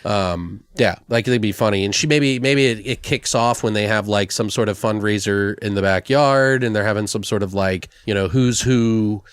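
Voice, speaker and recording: A man's voice: fairly low pitch, moderate pace, hardly any pauses, smooth, no echo. A man co-hosting a podcast, brainstorming casually in a joking, foul-mouthed way. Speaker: podcast co-host.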